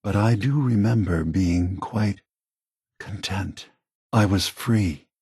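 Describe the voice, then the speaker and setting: An aged synthetic leader from a video game, gentle and weary. His voice is low, breathy and very dry, close and free of room sound. He speaks slowly and deliberately, reassuring and persuading like a patient philosopher. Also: dry recording, heavily acted. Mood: calm, reassuring. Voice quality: soft, husky, breathy.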